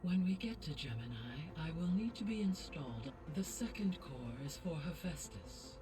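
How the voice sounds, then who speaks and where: A woman voicing a calm, courteous artificial intelligence guide in a video game, speaking formally and precisely. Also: sits low, normal speed, far from the mic. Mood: measured. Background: game music.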